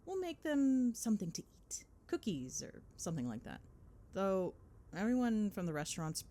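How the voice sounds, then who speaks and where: A woman's voice: medium pitch, sing-song, normal speed, dark tone, natural voice. A woman playing a chatty, gossipy girlfriend in a casual ASMR phone-call roleplay, with playful, sweary, dramatic griping. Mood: chatty, fond. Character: chatty, gossipy girlfriend venting on the drive home.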